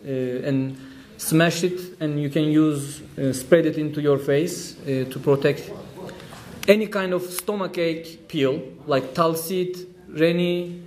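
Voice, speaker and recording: A man from Turkey with a Turkish accent, giving a speech at an event, telling a story with light humor and many pauses. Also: fairly high pitch, darkish tone. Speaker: trade union official giving a talk.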